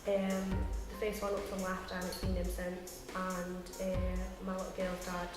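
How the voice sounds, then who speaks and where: Young Midlands woman, 19, halting and monotone, very echoey room. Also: lowish voice, over loud music. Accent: English Midlands. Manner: halting, with many ums. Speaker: young mother in a short film.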